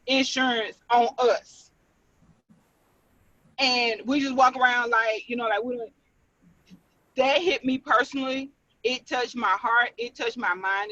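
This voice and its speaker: Woman caller, high and slow, gushes with restarts; dull dry call audio. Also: fairly bright tone, dry recording. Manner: gushing, stumbles and restarts, exclaims. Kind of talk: excited casual call-in comment. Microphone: video call.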